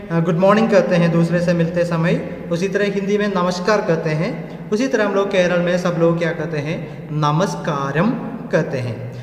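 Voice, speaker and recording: A man's voice: sits high, very echoey, big-room sound. A man with a Malayali accent, a Malayalam speaker, teaching a language lesson patiently and often checking in with his students. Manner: patient, repeats with tag questions.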